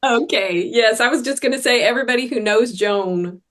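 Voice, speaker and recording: A woman's voice: fairly high pitch, normal speed, a bit bright. A woman hosting an online training call. She speaks in an upbeat, thankful way with loose fillers. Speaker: training host.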